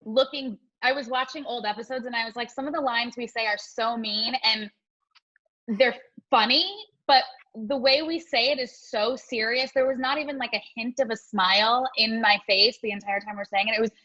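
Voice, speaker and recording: A woman's voice: fairly high pitch, steady tone, normal speed, sharp tone. A woman, a former child actor, chatting casually at a reunion over a lo-fi video call. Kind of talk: casual chat. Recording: dull lo-fi video call audio.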